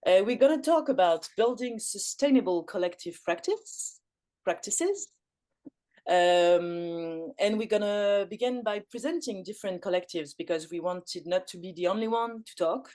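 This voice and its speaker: A woman's voice: mid-range voice, expressive, normal speed, mellow. A woman from Belgium, facilitating an online forum in English, with frequent fillers and restarts. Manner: hesitant, many fillers and restarts. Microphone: video call.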